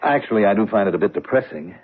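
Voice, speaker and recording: An actor playing a smooth, courteous middle-aged dinner guest in a radio drama, polite and measured, with a raspy voice. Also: male voice, fairly low pitch, lively intonation. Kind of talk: 1970s radio drama acting. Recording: tinny old radio broadcast.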